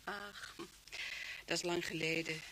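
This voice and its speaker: A woman's voice: on the low side, fairly even intonation, slow pace, bright, forceful tone. An actress playing a good-humoured, chatty middle-aged mother in a radio drama. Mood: chatty, nostalgic. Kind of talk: Dutch radio drama acting. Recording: noisy old radio play recording.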